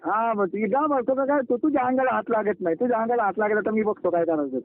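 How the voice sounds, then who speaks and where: A man's voice: high-pitched, quick pace, no echo. A young man chatting casually with a friend in an insistent, blunt, repetitive way on a phone call. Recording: narrow, tinny phone call recording.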